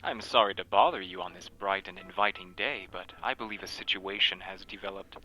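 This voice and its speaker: A man's voice: deep voice, fairly quick, bright, forceful tone, low-quality recording. An actor playing a formal, precise, commanding man with sinister courtesy in an audio drama. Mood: stern, menacing. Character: Cyrus, courteous, formal, sinister male benefactor. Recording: faint music behind.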